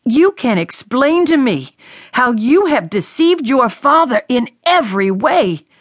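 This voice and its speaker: A woman's voice: high voice, dry recording, pitched above the performer's own voice, full character voice. Angry older sea captain, woman doing him, raised voice, accusing, dry close sound. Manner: shouting, accusing. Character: furious aging male sea captain, stern father.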